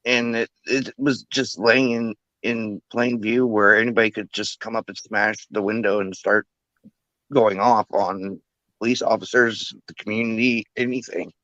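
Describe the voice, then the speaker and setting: Low-voiced guest on dull call audio. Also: male voice, fairly even intonation, moderate pace, a bit bright, dry recording. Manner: explains with fillers and restarts. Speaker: panel guest. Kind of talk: casual panel talk.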